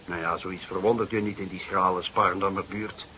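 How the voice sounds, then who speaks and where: An actor playing a seasoned, gruff and moralising police detective in a Dutch radio drama. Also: male voice, low-pitched, fairly even intonation, normal speed, bright, forceful tone. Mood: musing, businesslike. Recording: hissy, noisy radio drama recording.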